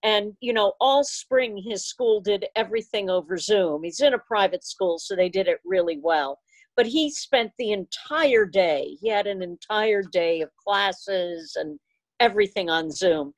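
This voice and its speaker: A woman's voice: mid-range voice, expressive, unhurried, no echo. An older woman chatting casually on a video call as she helps run an online meeting. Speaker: meeting organizer.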